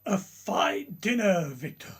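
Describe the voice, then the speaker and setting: A man playing a formal senior professor who speaks deliberately and questioningly in a radio drama. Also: sits high, big pitch swings, a bit bright, husky, heavily acted. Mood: probing, wry. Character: measured, probing senior professor of surgery.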